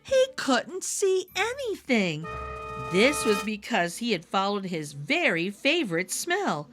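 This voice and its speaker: A woman reading a children's story aloud with expressive, animated narration, addressing young listeners. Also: medium pitch, slow pace, music in the background.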